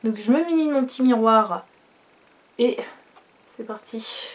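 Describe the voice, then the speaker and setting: A young woman with a slightly high voice, congested from a cold, talks through a makeup routine at home. She chats casually, fills gaps with hesitations and lets her sentences fade off quietly. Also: a little slow. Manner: chatty, many fillers, trails off quietly. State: stuffy nose from a cold. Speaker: beauty vlogger. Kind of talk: casual makeup tutorial chat.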